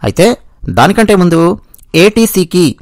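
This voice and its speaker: High-pitched Telugu man explaining news. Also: big pitch swings, unhurried, no room sound, clean studio sound. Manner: explanatory, repeats and rephrases points. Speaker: YouTube news commentator.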